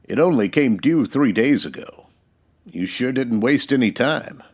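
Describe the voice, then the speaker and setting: A man playing a steady, decent United States marshal in an old-time radio western, plainspoken and folksy. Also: mid-range voice, a little slow. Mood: grave, disapproving.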